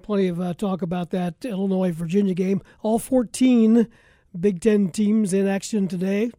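Mellow American man hosting sports radio. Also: high voice, fairly even intonation, moderate pace, dry recording, studio quality. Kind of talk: casual sports radio talk. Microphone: close studio broadcast mic.

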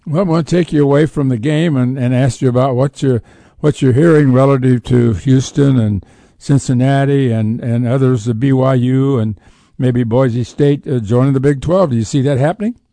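A man hosting an unscripted sports talk radio interview, hesitant, with frequent pauses and uhs between phrases. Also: medium pitch, creaky, mellow, dry recording. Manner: hesitant, pausing often with uhs.

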